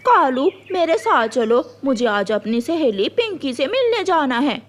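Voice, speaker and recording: Woman playing a high-pitched jealous crow wife. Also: very animated, a little slow. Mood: scheming. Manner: sharp-tongued, complaining. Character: jealous, scheming, bitter female crow wife.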